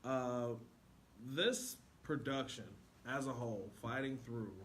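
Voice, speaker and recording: A man with a very bright, forceful voice speaks earnestly and casually as an interview guest. There is faint noise behind him. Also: medium pitch, slow pace. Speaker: stage actor, interview guest. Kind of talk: casual, earnest promotional talk. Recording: faintly noisy room recording.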